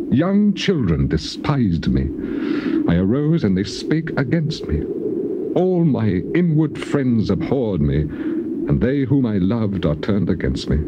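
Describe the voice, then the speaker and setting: A man's voice: lowish voice, lo-fi sound. A man playing an afflicted, anguished man in a dramatized scripture reading, declaiming with solemn passion against his friends. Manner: declamatory, impassioned, solemn archaic delivery. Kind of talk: dramatized King James Bible reading, theatrical. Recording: old noisy cassette tape transfer.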